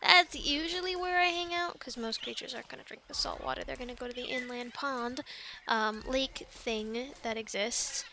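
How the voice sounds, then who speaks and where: A woman playing a plucky young island castaway in an ASMR roleplay, chatting in an animated, halting, stammering way. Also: high-pitched, slight background noise. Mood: chatty, explaining. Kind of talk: ASMR roleplay, conversational one-sided dialogue.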